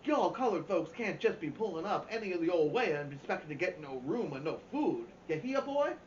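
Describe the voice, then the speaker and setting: A man voicing a mocking, menacing hillbilly with an exaggerated Deep South accent and an emphatic drawl. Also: big pitch swings, brisk. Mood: mocking menace. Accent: exaggerated American Deep South. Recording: roomy, dull, faint background noise.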